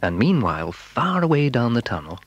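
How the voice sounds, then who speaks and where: A man narrating a children's story in a dramatic, expressive, suspenseful way, with a husky, breathy voice. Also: medium pitch, moderate pace, dry recording, lo-fi sound. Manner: expressive, suspenseful, building excitement.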